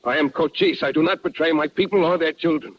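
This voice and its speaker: An actor playing a proud, resolute chief in a 1950s radio drama, with formal, measured phrasing and no contractions. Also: male voice, on the high side, natural voice. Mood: commanding. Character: proud, resolute Apache chief, grave and principled. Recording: muffled vintage radio broadcast.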